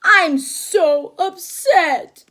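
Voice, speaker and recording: A small female storybook pig, played by a woman reading aloud, tearful and quick to wail. Her voice sits high and lifts even higher, and she lingers on every word. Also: exaggerated voice. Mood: crying. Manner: wailing, tearful. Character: small excitable female pig, easily upset.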